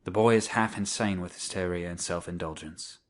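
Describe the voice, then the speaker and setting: A woman acts out a dour gentleman doctor from a storybook. His voice drops very low, and he speaks sternly, with plain irritation. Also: fairly quick. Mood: irritable. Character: irritable, dour adult male country doctor. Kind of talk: acted storybook dialogue.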